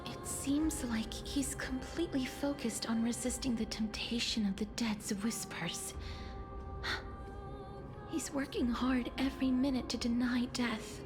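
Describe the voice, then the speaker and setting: Woman as a gentle, high-voiced young heroine. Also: measured pace, music in the background, natural voice. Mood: earnest. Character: gentle, resolute young woman companion to a warrior. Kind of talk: dramatic video game voice acting.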